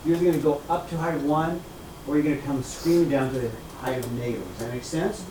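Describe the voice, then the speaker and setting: A man teaching a math class, lively and repetitive, often checking in with "okay?" and "right?". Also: fairly high pitch, quick pace, background noise. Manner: energetic, repeats key points, asks "okay?" and "right?" often. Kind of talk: classroom lecture.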